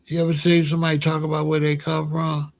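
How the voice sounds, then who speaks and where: A man with a somewhat high voice runs his own opinion show. He speaks bluntly in loose street slang and keeps firing rhetorical questions. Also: monotone, fast. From Chicago. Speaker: show host. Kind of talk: casual opinion monologue.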